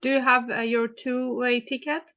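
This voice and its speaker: A woman playing counsel in a mock hearing, asking questions hesitantly with many pauses. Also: on the high side, level tone, a bit bright, dull audio. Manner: hesitant, many fillers and restarts.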